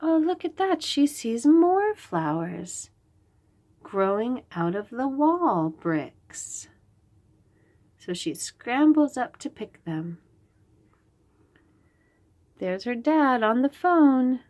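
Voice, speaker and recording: A woman's voice: a little high, big pitch swings, extremely slow, long pauses, darkish tone. A woman reading a picture book to young children, describing the pages in simple short phrases and asking the listener questions. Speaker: children's read-aloud host.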